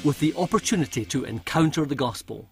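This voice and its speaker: A man reading scripted documentary narration in a measured, earnest way. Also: on the high side, animated. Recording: music bed underneath.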